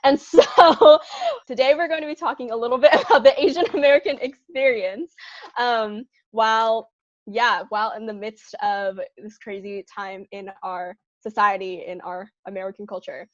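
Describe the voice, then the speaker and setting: A woman's voice: fairly high pitch, moderate pace, no room sound. Young woman, podcast co-host, chatty, dry close sound, slightly dull audio. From Southern California. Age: young adult. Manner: chatty, lots of "like" and laughter. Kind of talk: casual chat.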